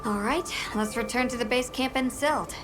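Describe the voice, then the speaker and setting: An actress playing a resolute, level-headed expedition member in a video game, speaking purposefully over music. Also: female voice, a little high, normal speed, natural voice. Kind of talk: dramatic video game dialogue.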